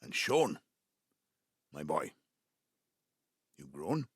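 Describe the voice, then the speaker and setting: An actor playing a jovial Welsh father of seventy-five in an audio drama, with a South Wales Valleys accent, a husky gravelly voice and an effusive, jokey manner. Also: very deep voice, very animated, extremely slow, no echo, studio quality, full character voice. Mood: affectionate. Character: ageing Welsh father, jovial rogue seeking to make amends. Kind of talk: naturalistic audio drama acting.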